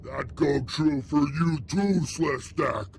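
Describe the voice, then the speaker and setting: An actor voicing a brutish, dim alien gang boss in a video game, blustering and taunting in broken grammar. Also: male voice, fairly high pitch, takes it slowly, slight background noise. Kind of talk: cartoonish video game villain acting.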